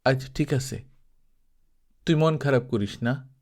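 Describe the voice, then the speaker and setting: A man's voice: mid-range voice, unhurried. A man voicing an old village grandmother in a story reading, coaxing and nagging in a drawling, colloquial way.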